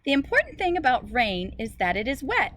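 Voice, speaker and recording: Expressive woman reading aloud to young children. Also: high voice, fairly quick. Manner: expressive, simple phrasing addressed to children. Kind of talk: picture-book read-aloud for young children. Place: outdoors in a school garden. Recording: noisy outdoor recording with ambient sound.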